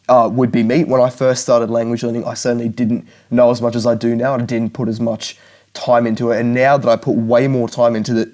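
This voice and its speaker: A man's voice: mid-range voice, a little mellow. Earnest young man giving study advice. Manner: earnest, repetitive, restarts often. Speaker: language learning YouTuber. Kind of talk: direct-to-camera advice talk.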